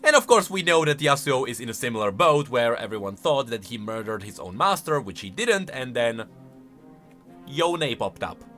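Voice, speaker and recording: A man's voice: mid-range voice, very animated, moderate pace, a bit bright, faint music behind. A man hosting a video of casual, explanatory game lore commentary in an emphatic, conversational way. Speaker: video host.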